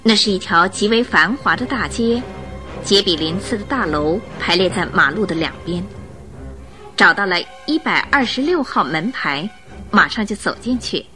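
Woman, radio drama storyteller, lively pitch movement, crisp forward tone, soft music underneath. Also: normal speed. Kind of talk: expressive scripted storytelling narration.

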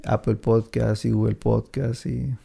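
Rambling, gravelly podcast host man. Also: on the low side, level tone, measured pace, warm tone. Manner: rambling, many fillers, laughs easily. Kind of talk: casual chat.

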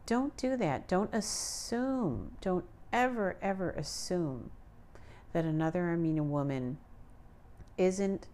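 A woman's voice: on the low side, lively intonation, slow pace, mellow. A woman hosting a solo podcast, talking earnestly and pleading with her listeners to be kind to each other. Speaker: podcast host.

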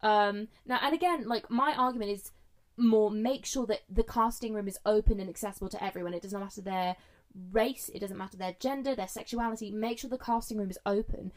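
A young woman co-hosting a podcast, talking loudly and animatedly while holding the microphone very close. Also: mid-range voice, a little fast. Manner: loud, animated ranting. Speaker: podcast co-host. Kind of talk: casual podcast chat. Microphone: handheld mic held close, no foam cover.

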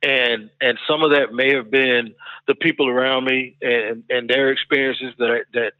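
A man's voice: mid-range voice, fairly even intonation, normal speed, no room sound. A man, a podcast host and barber, telling personal stories in an earnest, reflective way during a roundtable discussion. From United States. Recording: dry tinny phone-like line.

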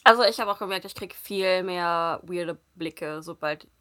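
A young woman in casual conversation, speaking in a relaxed way with lots of fillers and quick short replies. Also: fairly low pitch. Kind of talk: casual chat.

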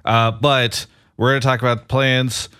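A man's voice: mid-range voice, little pitch movement, measured pace, a bit bright, clean tone, no echo, clean studio sound. A man co-hosting a podcast from his apartment, chatting casually with upbeat, joking banter. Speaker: podcast co-host.

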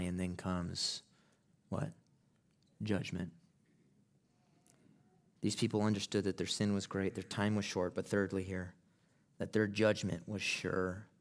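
A man delivering a sermon from the pulpit in a fairly low voice. He talks to the congregation in a conversational way and then rises to loud, impassioned preaching. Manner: acts out quoted people in casual voices. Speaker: pastor. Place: church sanctuary.

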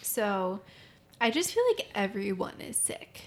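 A mid-pitched woman with a lot of vocal fry chats easily as a podcast co-host. She agrees often and talks in a loose, friendly way. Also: big pitch swings, a little slow. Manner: chatty, agreeable, many yeahs. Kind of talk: casual chat.

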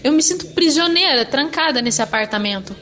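A woman playing a fed-up, homesick young student flatmate in an audio drama, speaking casually with a Brazilian accent. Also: high-pitched, brisk. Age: young adult. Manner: colloquial, casual.

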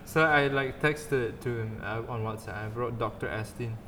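A man's voice: medium pitch, normal speed, big-room sound, over a noisy crowd. A man, a podcast co-host, teasing and telling stories in casual chat. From Malaysia. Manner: teasing, repeats jokes, storytelling.

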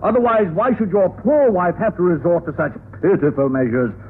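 An actor playing a bossy, excitable middle-aged man with emphatic, declamatory delivery in a 1950s radio sitcom. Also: sits high, a little fast, natural voice. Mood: indignant. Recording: tinny, noisy old radio recording.

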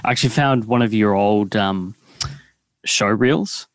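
A man hosting a casual podcast interview, asking relaxed, conversational questions. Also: on the low side, measured pace, no room sound. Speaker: podcast host.

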